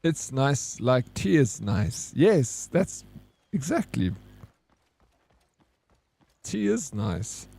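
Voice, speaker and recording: A man's voice: medium pitch, very animated, extremely slow, creaky, pauses often, warm tone, husky. A man with a South African accent, giving casual, joking gameplay commentary as a streamer and bantering with his chat. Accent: South African English. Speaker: game streamer.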